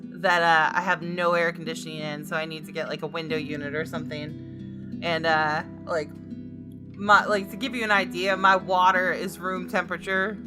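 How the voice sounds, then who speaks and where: A woman's voice: on the low side, fairly even intonation, normal speed. A woman hosting a gaming video from a room at home, mixing informal commentary with reading game text aloud. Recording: faint music behind the voice.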